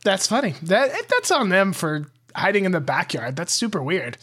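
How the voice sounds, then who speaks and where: A man's voice: high-pitched, sing-song, moderate pace, pushed and bright. Dry-humored young man, laid-back. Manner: dry, teasing humor, easy laughter. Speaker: podcast co-host. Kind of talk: casual chat and reading news items.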